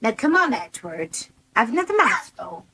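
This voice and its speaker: A woman's voice: a little high, very animated, moderate pace, bright, forceful tone, slightly rough, exaggerated voice. A woman playing a homely, patient young farm wife and mother in a radio soap, with a rural English accent and colloquial, coaxing talk. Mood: coaxing, matter-of-fact. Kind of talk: naturalistic radio soap acting.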